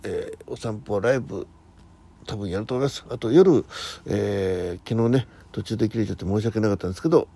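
A man in his sixties hosting a casual solo radio chat, rambling haltingly and often correcting himself as he goes. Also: lowish voice, gravelly, mellow, breathy edge. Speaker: host of a morning audio diary show.

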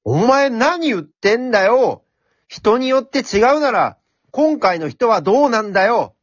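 A man voicing a brash, cheeky talking pencil in an amateur radio drama, speaking in rough, cocky, encouraging slang. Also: very high voice, very animated, measured pace, no room sound, lo-fi sound, exaggerated voice. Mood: urging, fired up.

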